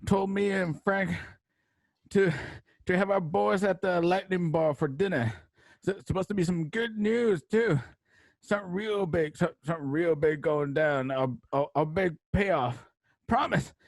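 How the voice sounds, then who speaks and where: A man's voice: sits high, measured pace, gravelly, no room sound. An American man playing a desperate, pleading Western outlaw in a radio drama, stammering and whimpering. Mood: nervous, bargaining.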